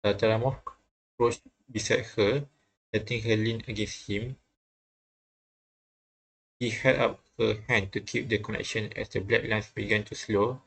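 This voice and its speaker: Male game narrator, low register with a gravelly edge, halting read-aloud, frequent stumbles and restarts. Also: steady tone, a bit bright, rough recording. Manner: often stumbles and repeats phrases. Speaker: host reading a visual novel aloud.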